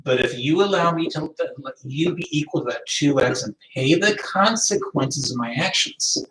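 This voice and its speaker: A math teacher whose first language is French, lecturing a class and thinking aloud, often stopping to rephrase. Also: male voice, on the high side, animated, normal speed, fairly bright tone. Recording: echoey room, distant mic.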